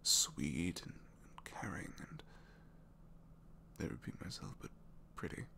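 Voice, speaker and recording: A man's voice: very deep voice, lively intonation, takes it slowly, vocal fry, sharp tone, full character voice. A man acting out a bashful, flirtatious supervillain with a good heart in an intimate ASMR roleplay, speaking hesitantly with sheepish stammers. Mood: earnest, shy.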